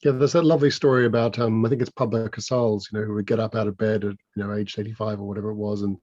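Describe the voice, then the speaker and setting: A man, an author guest on an online panel, talking in a measured, academic way with frequent restarts. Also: fairly low pitch, little pitch movement, darkish tone, no echo, muffled recording. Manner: measured, academic, repeats words. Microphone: video call.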